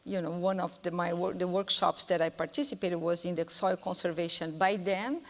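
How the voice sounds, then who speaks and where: A woman in her fifties with a Brazilian accent speaks slowly and reflectively, pausing on fillers as she tells a story. Her voice sits low. It carries through a long conference-hall reverb. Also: fairly even intonation, fairly bright tone. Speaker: panelist, chief scientist. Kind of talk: panel discussion answer. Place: large conference hall stage.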